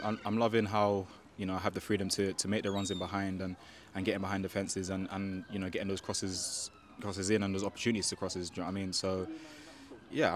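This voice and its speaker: A man's voice: sits low, slight background noise. A young man, a football player giving a post-match interview outdoors into a handheld mic, hesitant with many fillers and restarts. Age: young adult. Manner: hesitant, many fillers and restarts, keeps asking "do you know what I mean". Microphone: handheld microphone.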